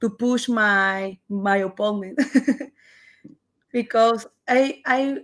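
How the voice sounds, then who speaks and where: Slow, halting Peruvian woman in her twenties. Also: mid-range voice, fairly bright tone. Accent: Peruvian Spanish. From Peru. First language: Spanish. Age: 20s. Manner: halting, searching for words, laughs often. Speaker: wrestler guest. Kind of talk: interview answers.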